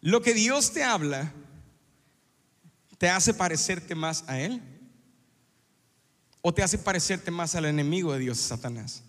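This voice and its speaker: A middle-aged man preaching a sermon as a pastor, animated and joking, acting out little scenes as he talks. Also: a little high, unhurried, long pauses. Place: church sanctuary. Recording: long hall reverb in a church.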